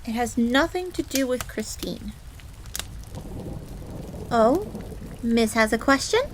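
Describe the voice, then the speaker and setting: A high-voiced fox maid in an audio roleplay. She is a proud, jealous tsundere servant, quick to get indignant and flustered, yet lowly in her own eyes. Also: animated, a little hiss or hum. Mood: defensive, huffy. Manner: indignant, stammering when flustered, refers to herself in third person.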